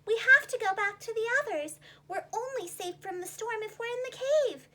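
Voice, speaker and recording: Woman as anxious little striped fish, high-pitched, bright, urgent, pleading, storybook friend. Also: moderate pace. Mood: urgent, worried.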